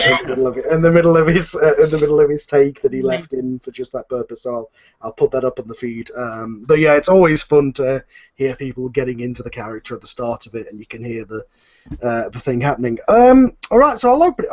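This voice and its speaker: A man's voice: mid-range voice, darkish tone. Man rambling through dull lo-fi audio. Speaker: host, writer and producer of a fan audio drama. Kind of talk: casual question and answer chat. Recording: dull lo-fi voice call.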